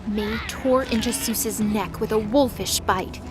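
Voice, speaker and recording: A woman's voice: medium pitch, unhurried, music in the background. A woman reading dramatic scripted narration for a fantasy audio drama.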